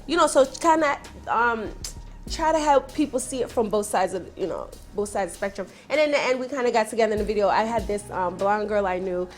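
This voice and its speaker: A woman's voice: medium pitch, a little fast, faint music behind. A young woman rapper from Jamaica, chatting in a casual interview and telling stories with energy, now and then calling people honey. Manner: animated storyteller, calls people honey. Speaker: rapper guest.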